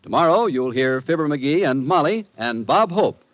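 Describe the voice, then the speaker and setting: Animated American old-time radio announcer, male. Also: a little high, moderate pace, dry recording. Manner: polished, emphatic. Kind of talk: 1950s radio announcing, scripted promotion. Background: music.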